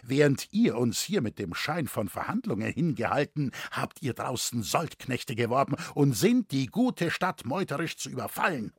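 A man's voice: mid-range voice, sing-song, normal speed, few pauses, breathy edge, no room sound, clean studio sound, exaggerated voice. A man voicing an imperious, indignant cathedral master in a story, with grave, archaic formal speech. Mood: grave, accusing. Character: commanding, indignant male cathedral master and people's leader.

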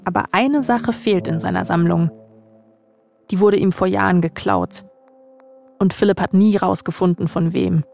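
A woman's voice: fairly low pitch, moderate pace, creaky, long pauses, darkish tone, soft background music. A woman, narrating a scripted podcast as its host.

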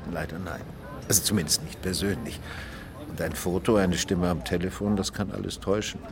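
An actor playing a chatty, flirtatious newcomer in a naturalistic radio drama. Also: male voice, deep voice, fairly even intonation, a little fast, vocal fry, background noise. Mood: polite, playful. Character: chatty, flirtatious newcomer waiting for a blind date.

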